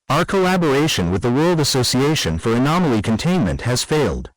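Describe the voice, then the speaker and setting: Male emergency announcer, acted, grave and official audio drama read, animated pitch movement, close dry recording. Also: dry recording, lightly acted, poor audio. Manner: serious, official. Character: grave male official addressing secret foundation staff. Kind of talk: formal scripted emergency announcement.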